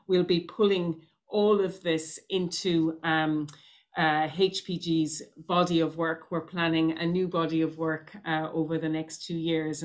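Thirties woman moderator, lower-pitched, hesitant closing remarks on a video call. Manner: hesitant, many ums and restarts. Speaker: host and moderator.

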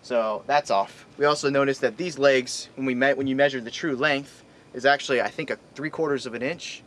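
A man's voice: mid-range voice, fairly bright tone. An American man in his thirties explains things casually to camera as a vlog host, outdoors on a boat. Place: outdoors on a sailboat in a boatyard. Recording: outdoor recording with ambient noise.

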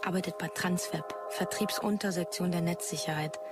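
A woman acts a young woman in a science fiction audio drama. Her voice is on the low side, casual and conversational with slight hesitation. Music plays clearly behind her. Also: fairly even intonation, fairly quick, natural voice. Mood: matter-of-fact. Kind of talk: sci-fi audio drama acting.

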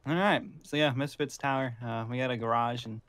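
A man's voice: mid-range voice, fairly even intonation, fairly quick, fairly bright tone, clear, resonant tone, lightly acted. A man improvising a weary, reluctant zombie survivor in a game roleplay, with a hesitant, sighing delivery. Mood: matter-of-fact. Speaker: player in a Minecraft roleplay.

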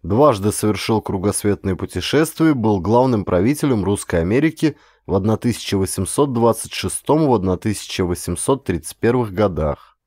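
A man reading an adventure audiobook as a scripted, expressive dramatic narration. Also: on the low side, moderate pace, no echo, studio quality.

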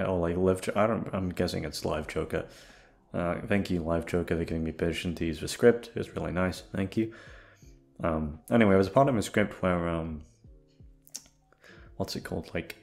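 Low-voiced young man rambling over music. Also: creaky. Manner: hesitant, sweary, self-deprecating, repeats himself. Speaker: audio roleplay voice actor. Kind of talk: rambling casual chat to listeners.

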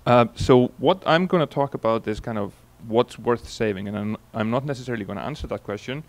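A man in his forties from Iceland with an Icelandic accent, giving a relaxed conference keynote into a handheld mic, telling stories with many fillers and restarts. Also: medium pitch, brisk, gravelly. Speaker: keynote speaker. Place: large conference hall on stage. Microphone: handheld microphone.

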